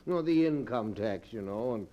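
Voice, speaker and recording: A man's voice: mid-range voice, lively intonation, moderate pace, dark tone, close to an everyday voice. An actor playing an evasive, well-off man making hemming excuses in a 1940s radio drama. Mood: apologetic, evasive. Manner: smooth excuses, hemming and hedging. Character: evasive, well-off old friend refusing a loan. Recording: dull old radio recording.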